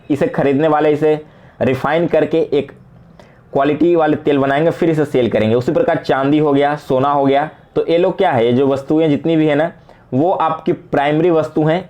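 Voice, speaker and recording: A man's voice: on the high side, a little mellow. A man with an Indian accent teaching exam prep, walking students through quiz questions and answering them with explanations, mixing in English terms.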